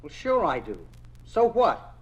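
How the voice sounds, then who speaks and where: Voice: mid-range voice, very animated, a little slow, highs cut off. A performer playing a cold, scornful youth group leader and communist zealot in a 1950s radio drama, curt and officious. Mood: cold, dismissive.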